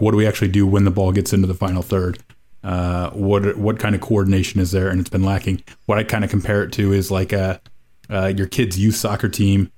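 A man breaking down a soccer match on a podcast in a wry, rambling way, with plenty of fillers. His voice is on the low side. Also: darkish tone. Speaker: podcast co-host. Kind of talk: casual soccer podcast chat.

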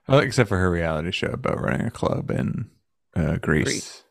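A man's voice: low voice, expressive, normal speed, gravelly, no echo, clean studio sound. A man co-hosting a podcast, chatting in a wry, joking way. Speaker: podcast co-host. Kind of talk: casual chat.

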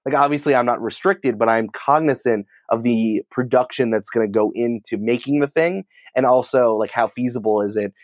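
A man's voice: mid-range voice, moderate pace, hardly any pauses, no echo, muffled recording. An American man answering interview questions in an informal, emphatic, conversational way, peppering his speech with "like". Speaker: podcast producer and writer, interview guest.

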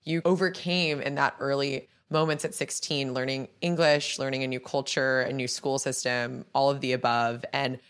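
A woman's voice: extremely low pitch, fairly even intonation, normal speed, hardly any pauses, clean tone. A young woman hosting a podcast, moving between scripted introduction and interview questions. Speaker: podcast host.

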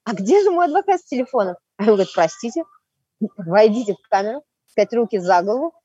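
A woman's voice: medium pitch, sing-song, quick pace, warm tone, husky, no room sound, muffled recording. A woman explaining things emphatically and step by step in a spontaneous podcast discussion, giving firm opinions.

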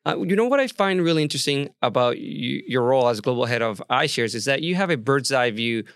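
A man's voice: medium pitch, animated, fast talker, fairly bright tone, smooth, dry recording, clean studio sound. A man hosting an interview podcast, asking questions in a friendly, curious way. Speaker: podcast host.

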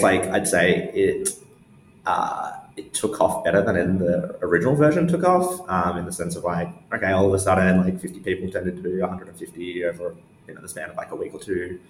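A man's voice: sits low, moderate pace, fairly bright tone. A man giving casual interview answers in a hesitant, meandering way, with lots of "like". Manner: hesitant, meandering, heavy use of "like". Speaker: interview guest, newsletter founder. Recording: roomy echo with faint background noise.

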